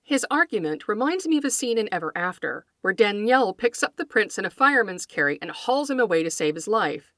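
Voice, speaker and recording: A woman podcast host reading scripted educational narration in a measured, articulate, scholarly manner, close to the microphone. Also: medium pitch, sing-song, a bit bright, dry recording. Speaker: podcast host, folklore scholar and fiction writer.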